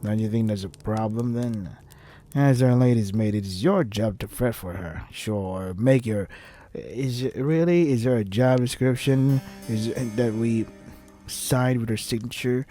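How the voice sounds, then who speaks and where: A man's voice: mid-range voice, measured pace, mellow, soft background music. A man reading a visual novel aloud and commenting on it in an irritated, sarcastic way with heavy swearing. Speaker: let's play host.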